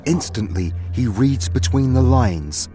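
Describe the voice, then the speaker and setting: A man's voice: mid-range voice, expressive, a little slow, dark tone, soft background music. A man narrating a scripted fantasy story in a dramatic storytelling style.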